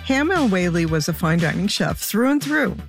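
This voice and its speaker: A woman's voice: fairly low pitch, very animated, moderate pace, creaky, faint music behind. A woman presenting and interviewing as the host of a show, moving between prepared lines and conversation. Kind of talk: scripted introduction and conversational interviewing.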